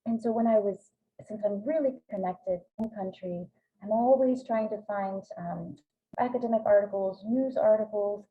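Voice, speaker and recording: A woman's voice: mid-range voice, moderate pace, breathy edge. A woman whose first language is Greek, giving an academic webinar talk in an earnest way with frequent ums. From Greek American family. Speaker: presenting researcher. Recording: tinny phone-like line.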